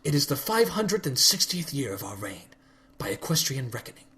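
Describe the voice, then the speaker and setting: A man's voice: mid-range voice, very animated, normal speed, sharp tone. A man voicing a regal, furious elder winged pony princess who speaks in archaic, commanding formal speech. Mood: imperious.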